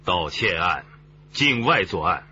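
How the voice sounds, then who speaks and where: Low-pitched, authoritative police chief. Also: male voice, big pitch swings, sharp tone, slightly rough, full character voice. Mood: measured, serious. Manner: measured, explanatory, authoritative. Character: seasoned, measured male police chief. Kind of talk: radio drama acting. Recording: slightly dull, noisy recording.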